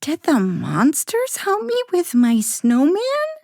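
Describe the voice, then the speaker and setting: A woman's voice: high-pitched, huge pitch swings, slow pace, vocal fry, darkish tone, no echo, clean studio sound, pitched above the performer's own voice, full character voice. A woman voicing a curious, kind little girl in a children's bedtime story, with a wondering, childlike manner.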